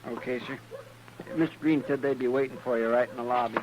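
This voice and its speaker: An actor playing a folksy, deferential marshal's sidekick in a 1950s radio western, chatty and plain. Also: male voice, mid-range voice, fairly even intonation, quick pace, noisy background, natural voice. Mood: curious. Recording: muffled old radio, crowd behind.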